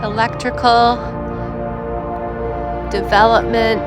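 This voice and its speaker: A woman guides a walking tour in a slow, unhurried way, her fairly high voice a little hoarse after an illness. She is filmed outdoors on a camera she carries, and loud music plays under her voice. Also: little pitch movement. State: voice recovering from illness, slightly hoarse. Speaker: tour vlogger. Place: outdoors by a river near waterfalls.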